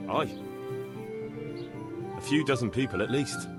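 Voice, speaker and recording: Young male bailiff, played by a man, medieval game character, plainspoken, decisive, medium pitch, clear background music. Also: unhurried, lightly acted. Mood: matter-of-fact. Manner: plainspoken, matter-of-fact. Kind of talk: video game cutscene acting.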